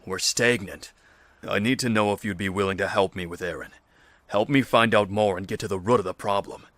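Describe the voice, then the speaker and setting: A man playing a pragmatic survivor leader in a fan audio drama, speaking in a measured, earnest, formal manner. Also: lowish voice, a bit bright, no room sound, close to an everyday voice. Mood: earnest, persuasive. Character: serious male survivor leader, pragmatic, persuasive, pressing for results.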